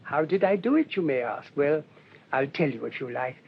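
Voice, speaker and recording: An actor playing a mild, shrewd English priest in a radio drama, speaking in a gentle, rambling, politely hesitant way. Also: male voice, expressive, moderate pace, gravelly, slightly rough, heavily acted. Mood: calm, quietly confident. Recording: dull lo-fi radio recording, rumbling noise.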